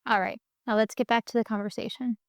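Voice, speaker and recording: A woman's voice: fairly high pitch, fairly even intonation, quick pace, gravelly, no echo, studio quality. A woman hosting the show, reading an upbeat, salesy promo script. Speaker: show host.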